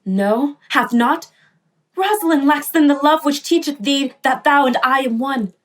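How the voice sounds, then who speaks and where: An actress plays a devoted young noblewoman in a Shakespeare radio drama. Her voice is moderately high. She speaks formal lines with earnest, resolute feeling. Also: lively intonation, a little slow. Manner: earnest, formal verse delivery. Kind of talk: Shakespearean radio play acting.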